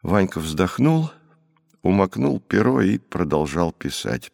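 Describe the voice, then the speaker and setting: A man reading literary prose as an audiobook narrator, in a measured, expressive storytelling manner. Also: deep voice, vocal fry, dry recording, clean studio sound. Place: dry studio.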